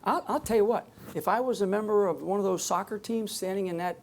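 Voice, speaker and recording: A man's voice: high voice, fairly quick. Man giving an emphatic rambling stage talk. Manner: emphatic, rambling, many restarts. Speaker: public speaker on security. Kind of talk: unscripted talk to a live audience. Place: on a stage before an audience.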